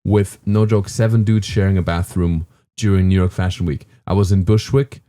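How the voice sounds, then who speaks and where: A man in his thirties with a German accent tells rambling stories on a podcast in a dark, mellow voice that sits fairly low. He drifts along loosely, filling his pauses with "uh" and "um" and restarting his sentences as he goes. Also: steady tone. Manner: rambling storyteller with fillers and restarts. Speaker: guest comedian. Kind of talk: casual podcast chat.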